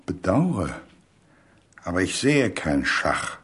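A man playing a stiff, touchy male chess champion in a radio play, cold, sharp and deliberate. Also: low voice, big pitch swings, breathy edge, heavily acted. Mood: coldly mocking.

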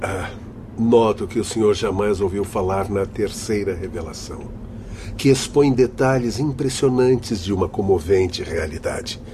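A man's voice: lowish voice, mellow, a little hiss or hum. A man acting in a radio drama as a stern, learned spirit guide who lectures in formal, emphatic oratory. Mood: reproving.

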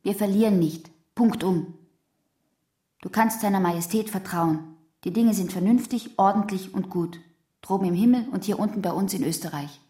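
A woman's voice: medium pitch, normal speed, dark tone, natural voice. An actress playing a strict, orderly mother firmly schooling her child in a radio drama. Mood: indignant, reassuring. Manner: firm, schooling. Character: strict, orderly, patriotic mother of a small boy. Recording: echoey room.

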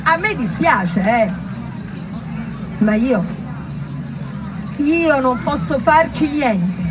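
Woman as evasive male doctor, stage monologue, hesitant, slow, falsely soothing, high pitch. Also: expressive, crowd noise, background noise, full character voice. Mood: anxious, evasive. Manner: hesitant, hedging, colloquial. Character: evasive male gynecologist, nervous, falsely reassuring. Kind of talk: theatrical monologue.

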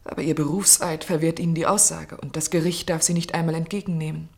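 A woman's voice: deep voice, fairly even intonation, fast talker, gravelly, darkish tone. A woman playing a determined, idealistic woman in a German radio drama, speaking earnestly, insistently and articulately. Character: determined, idealistic woman pleading to save a condemned man.

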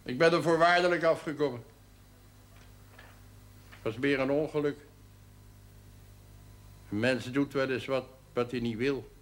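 An actor playing a rough, hot-tempered drinker who talks bluntly and colloquially in a television drama. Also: male voice, mid-range voice, measured pace, pauses often, fairly bright tone, close to an everyday voice. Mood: gruff, candid. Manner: blunt, plain-spoken, colloquial. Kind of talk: 1970s Dutch television drama acting.

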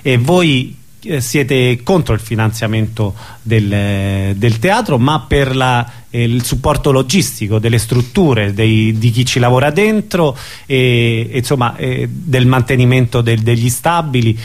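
A man hosting a radio interview casually, with halting, hesitant questions. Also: medium pitch, expressive.

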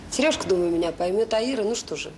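A woman's voice: medium pitch, expressive, quick pace, a little mellow, close to an everyday voice. An actress playing a restless, insistent middle-aged woman in a Soviet radio drama. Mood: agitated. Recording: noisy old radio play recording.

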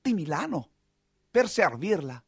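A man's voice: fairly high pitch, very animated, unhurried, creaky, breathy edge, no echo, studio quality, voice pushed up, exaggerated voice. A man playing an earnest, idealistic young count on stage, with formal, polished speech. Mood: earnest, polite.

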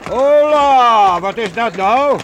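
An actor playing a proud, defiant master weaver who leads armed townsmen in a medieval radio play, assured and paternal. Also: male voice, extremely high pitch, animated, slow pace, highs cut off, background noise, full character voice. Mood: defiant, firm. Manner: assured, explanatory, addresses youngsters paternally.

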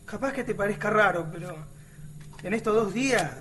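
Obrero miliciano argentino, voz aguda. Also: male voice, high voice, brisk, bright, forceful tone, a little hiss or hum. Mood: earnest, hopeful. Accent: Argentine, Rioplatense. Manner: colloquial, slangy. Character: earnest, outspoken working-class male resistance militiaman. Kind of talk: radio drama dialogue.

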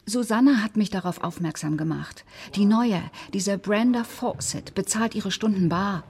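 A suspicious, determined mistress. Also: female voice, mid-range voice, normal speed, darkish tone, breathy edge. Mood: worried, suspicious. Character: suspicious, determined female dance school owner and secret lover. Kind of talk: German radio crime drama acting.

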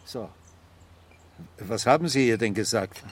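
An actor playing an insistent, condescending police inspector who probes in swings from quiet to loud in a radio drama. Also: male voice, fairly low pitch, animated, measured pace, a little hiss or hum. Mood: insistent, official.

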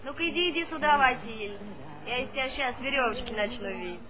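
A woman's voice: high-pitched, expressive, brisk, music in the background. An actress playing a proud, guarded young village woman, terse and wry, in a stage comedy. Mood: defiant, playful. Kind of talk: Soviet stage comedy acting.

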